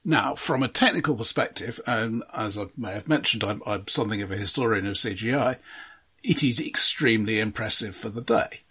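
A man co-hosting a casual film discussion, arguing his points in a measured, analytical way. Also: fairly low pitch, sharp tone, slightly rough. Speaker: podcast co-host.

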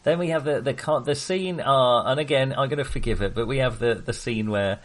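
A man with a British accent discussing films casually as a podcast co-host, in an animated, wry, conversational manner. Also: mid-range voice, a little fast.

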